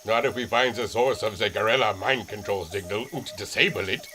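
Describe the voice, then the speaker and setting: A man's voice: on the low side, brisk, pushed and bright. An actor playing a cheerful German male scientist with a thick, hammy German accent in an old-time radio drama. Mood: thoughtful.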